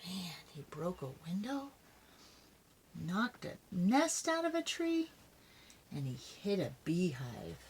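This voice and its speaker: A woman's voice: on the low side, very animated, slow, long pauses, husky. An older woman reading a children's story aloud expressively, adding chatty asides to her young listeners. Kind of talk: animated read-aloud storytelling.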